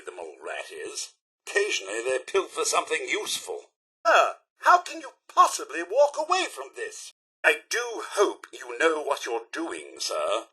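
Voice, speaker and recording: An actor playing a fussy, proper robot butler in a video game, with a British accent, a raspy voice and a formal, prim, theatrical delivery. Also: male voice, fairly high pitch, pushed and bright, full character voice. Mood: wry disdain. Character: fussy, proper male robot butler, polite, easily indignant. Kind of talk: video game character voice lines.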